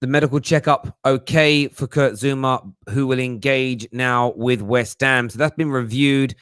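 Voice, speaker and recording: Host guy, matey and emphatic, lots of slang, mid pitch, dry close mic. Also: steady tone, measured pace, a bit bright, smooth, no echo. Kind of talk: casual live show chat.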